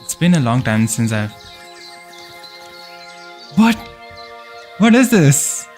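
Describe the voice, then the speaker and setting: A man casually commenting while streaming a game, chatting with viewers and thinking out loud. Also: medium pitch, very animated, slow, soft background music. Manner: chatty, answers chat, thinks aloud with fillers.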